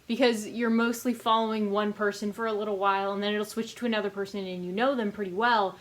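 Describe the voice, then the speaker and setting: A woman giving a casual, chatty book review as host of her own channel, with an animated, emphatic way of talking. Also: mid-range voice, fast talker. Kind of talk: casual scripted-loose book review to camera.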